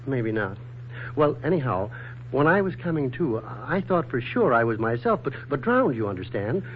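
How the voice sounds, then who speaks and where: An actor playing a groggy, hungover, flirtatious wisecracker with a hesitant, pleading manner in 1940s radio style. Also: male voice, mid-range voice, big pitch swings, a little slow. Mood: bemused. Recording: tinny, noisy 1940s radio recording.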